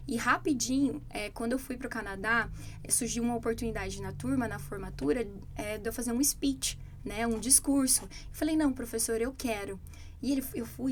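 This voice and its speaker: Podcast co-host: brazilian woman, bright higher voice, animated anecdotes. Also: slight background noise. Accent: Brazilian Portuguese. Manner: animated storytelling, quoting others. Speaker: English teacher, podcast co-host. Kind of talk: casual chat.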